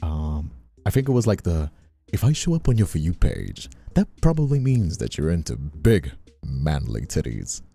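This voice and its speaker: Male streamer from Brazil, Portuguese native, very dark husky tone, chatty and casual, soft music behind. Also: a bass voice, very animated, moderate pace. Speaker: streamer and voice actor, hosting. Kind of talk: casual livestream chat.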